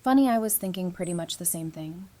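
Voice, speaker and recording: A woman's voice: fairly low pitch, animated, fast talker, mellow, natural voice. A woman playing an easygoing, friendly and sincere survivor in an audio drama. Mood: casual, reflective. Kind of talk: fan audio drama acting.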